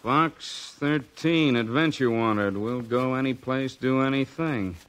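A man's voice: mid-range voice, slow pace, a bit bright, a little hiss or hum, rough recording. A man playing an eager fellow musing over an adventure, in 1940s radio drama style. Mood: intrigued.

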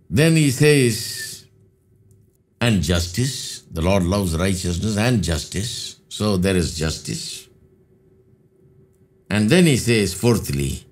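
Older Indian male pastor, low husky voice, deliberate studio sermon delivery. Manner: slow, expressive preaching with repeated phrases. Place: studio with dark backdrop, indoors.

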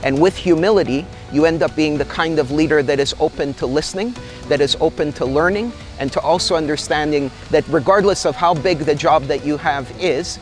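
A middle-aged man from Canada, a company executive, speaking in a measured and articulate way in a corporate interview outdoors in a garden. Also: fairly high pitch, faint music behind. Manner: measured, articulate, gestures while talking.

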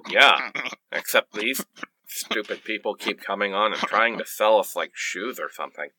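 A sarcastic sitcom teen acted by a man in a radio play, exasperated and lively in pitch. The voice is sharp and closely recorded. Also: moderate pace, no room sound, exaggerated voice. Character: sarcastic teenage boy in nineties sitcom parody. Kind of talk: comic radio play acting.

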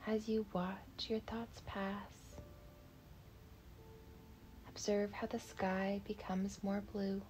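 A woman leading a guided meditation in a soft, breathy, half-whispered voice, gentle, soothing and unhurried. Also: mid-range voice, little pitch movement, a little hiss or hum.

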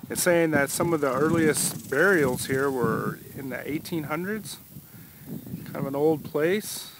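A man in his forties narrates a travel vlog in a relaxed, informal tour-guide way, speaking on a handheld phone outdoors. His voice sits a little high for a man. Loud background noise runs under the whole recording. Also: measured pace. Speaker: travel vlogger. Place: outdoors in a rural cemetery. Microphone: phone camera held at arm's length.

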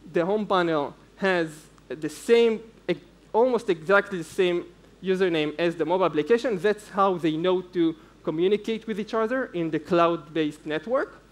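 High-pitched young man presenting a tech talk. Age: young adult. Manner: informal, explaining step by step. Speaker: conference speaker, security researcher. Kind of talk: technical conference presentation. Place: indoor stage at a conference.

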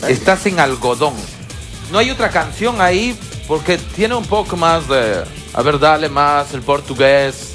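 A man's voice: on the high side, animated, a little slow, a bit bright, faint music behind. A man giving informal, spontaneous comments as a judge at a TV talent show desk.

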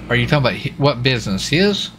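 A man playing a police marshal in an online roleplay game, asking casual questions in a halting, rambling, informal way. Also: mid-range voice, very animated, fairly bright tone, faint music behind.

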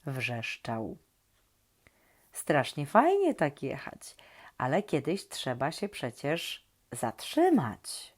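A woman reading a children's story expressively and talking gently and directly to young listeners. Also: very deep voice, wildly swooping pitch, slow.

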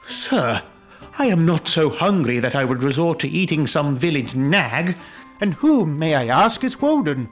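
A man voicing a pious, polite English gentleman in a story, prim, flustered and easily horrified. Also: fairly high pitch, big pitch swings, measured pace, soft background music. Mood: nervous indignation. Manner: stammering, prim, indignant. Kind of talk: dramatised storytelling.

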